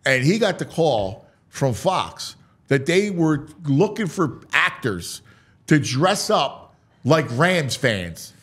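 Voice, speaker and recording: A man hosting a sports talk show, mixing dramatic, drawn-out delivery with casual, offhand asides. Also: fairly high pitch, big pitch swings, fairly bright tone, slightly rough.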